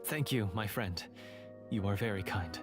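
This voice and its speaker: Male actor as a remorseful game knight, earnest, lowish voice, music under. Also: natural voice. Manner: earnest, measured. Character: remorseful young male knight seeking redemption.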